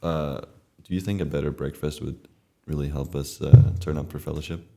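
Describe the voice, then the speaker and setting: A man's voice: rumbling low, steady tone, normal speed, vocal fry, mellow, faint music behind. A young man from Denmark hosting a student podcast and asking plain guiding questions. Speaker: podcast host.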